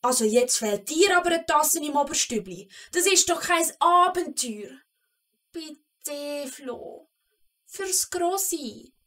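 Woman as a lively high-voiced Swiss gnome girl. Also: slow, distant mic, exaggerated voice. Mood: teasing, cajoling. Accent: Swiss German. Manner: eager, cajoling, teasing. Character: bold, adventurous little gnome girl. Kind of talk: children's audio play.

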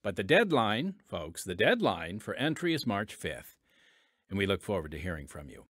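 A man's voice: lowish voice, sing-song, normal speed, fairly bright tone, no room sound, studio quality. A man hosting a podcast, reading scripted promotional narration and addressing listeners in a folksy way. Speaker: podcast host and announcer.

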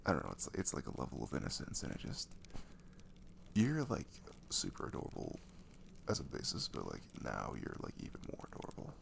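A man playing a gentle, caring boyfriend in an intimate ASMR roleplay, speaking softly and breathily, often half-whispering, with casual, hesitant reassurance. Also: rumbling low, huge pitch swings, fairly quick, creaky, background noise, heavily acted. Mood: sleepy, affectionate. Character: caring, gentle young boyfriend comforting his girlfriend.

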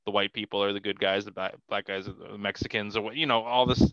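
A middle-aged man chatting as an interview guest, halting and repeating phrases as he explains, on a headset in a video call from home. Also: on the low side, steady tone, a bit bright, no echo, highs cut off. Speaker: podcast guest, comic artist. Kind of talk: casual conversational interview. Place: small cluttered room at home, video call.

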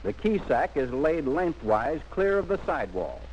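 Guy narrating an old 40s military how-to film, really animated pitch, teachy and punchy, dull noisy old audio. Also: normal speed. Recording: muffled, noisy 1940s film soundtrack.

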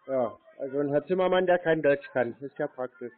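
A man's voice: a little high, a little fast, no echo. A man on a casual phone call, talking in a terse, mocking and provocative way. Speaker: scambaiter on phone calls. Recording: narrow phone-line sound.

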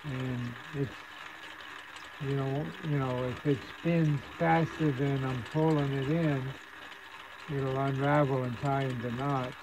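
A man casually narrating a hands-on repair demonstration at his workbench, with an unhurried, folksy manner. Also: mid-range voice, fairly even intonation. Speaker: hobbyist host demonstrating radio repair. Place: indoor electronics workbench. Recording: clear background machine whir and noise.

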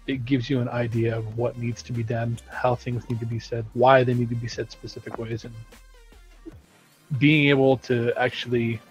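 A man's voice: mid-range voice, little pitch movement, normal speed, breathy edge. A man chats on a studio live stream, explaining his points at length in a casual way. Faint music plays underneath. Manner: talkative, explains at length with acted-out quotes. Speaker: game studio team member on stream.

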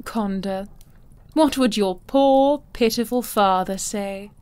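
Schauspielerin als herrische, grausame ältere Madame, langsam sprechend. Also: female voice, medium pitch, slow, darkish tone, clear, resonant tone, close to an everyday voice. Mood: silky menace. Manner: haughty, silky, condescending. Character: imperious, cruel older madame who owns wards. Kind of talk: fantasy audio drama acting.

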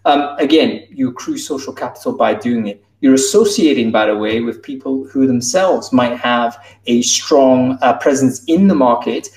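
Guy in his 30s doing a keynote on a video call, mid-pitched, lots of ums, roomy distant sound. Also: normal speed, hardly any pauses, a little mellow. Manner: conversational, many fillers and restarts. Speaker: keynote speaker. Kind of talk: conference keynote talk. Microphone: video call, wired earbuds.

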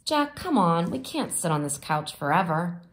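A storybook father trying to coax his children along, played by a woman. His delivery is emphatic and exasperated, with pitch that swoops about. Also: sing-song, normal speed. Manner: cajoling, emphatic.

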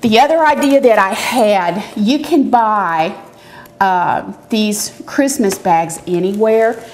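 A woman's voice: mid-range voice, slow pace, a little mellow. A middle-aged woman hosting a casual cooking and craft demonstration, explaining step by step in a chatty, folksy way. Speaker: cooking show host, extension educator. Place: medium-sized indoor demonstration kitchen. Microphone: lapel mic. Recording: clear background noise with clicks.

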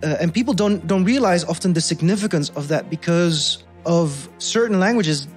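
A man's voice: on the high side, normal speed. Young Dutch-accented man, lightly raised voice, reflective guest with faint music under him. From Netherlands. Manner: thoughtful, many restarts and fillers, laughs easily. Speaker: podcast guest. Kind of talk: casual conversational interview.

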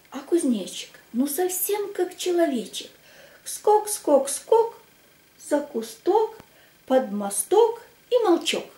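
An older woman reciting a children's verse tale in a theatrical, drawn-out and emphatic way. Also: sits high, mellow. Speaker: amateur children's storyteller with a plush puppet. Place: small room at home. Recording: echoey home room recording.

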